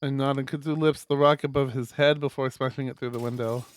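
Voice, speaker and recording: A man streaming a visual novel, reading it aloud as expressive narration with casual asides. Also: mid-range voice, quick pace.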